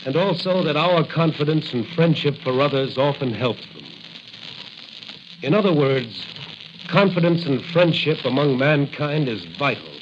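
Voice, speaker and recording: An actor playing a righteous crime-fighting hero in a declamatory, commanding 1940s radio style. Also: male voice, fairly high pitch, expressive, measured pace. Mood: earnest. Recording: hissy, dull 1940 radio recording.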